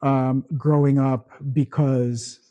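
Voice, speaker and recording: A man from the Philippines, his first language Tagalog, co-hosting a podcast in casual chat, talking slowly and halting with many restarts. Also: medium pitch, little pitch movement, mellow, dry recording, highs cut off. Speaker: podcast co-host.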